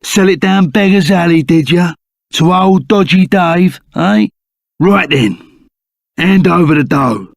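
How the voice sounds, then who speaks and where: An actor playing a gruff, loyal, blustering Cockney ex-bandit in a video game, full of rough, matey slang. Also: male voice, high voice, slow pace, dry recording, clean studio sound, exaggerated voice. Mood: rough, insistent. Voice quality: gruff, gravelly. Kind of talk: video game character acting.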